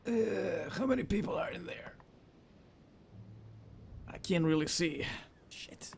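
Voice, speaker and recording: A man's voice: high voice, animated, slow pace, gravelly, a little hiss or hum. A man giving casual let's play commentary, thinking aloud informally as he works through a game. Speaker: gamer playing a puzzle game.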